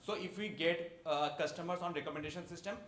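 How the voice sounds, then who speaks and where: Man, bright forceful voice, quick delivery, informal startup pitch answers, echoey room, faint noise. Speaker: startup founder answering judges. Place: indoor event room.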